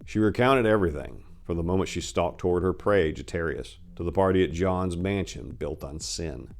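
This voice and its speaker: A man's voice: sits low, normal speed. A man narrating a crime story as a dramatic reading, in the role of storyteller.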